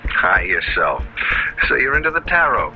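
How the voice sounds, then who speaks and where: A man's voice: mid-range voice, very animated, moderate pace, highs cut off. An actor playing a breezy, cocky young male college student in a radio horror drama, with a teasing way of talking. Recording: radio drama with music bed.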